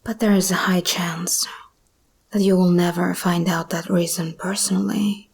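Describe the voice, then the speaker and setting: A woman playing an escaped hybrid monster girl, possessive yet tender, in an intimate, breathy whispered ASMR roleplay. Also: on the low side, steady tone, slight background noise, close to an everyday voice. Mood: teasing, soothing. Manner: slow, intimate, intense.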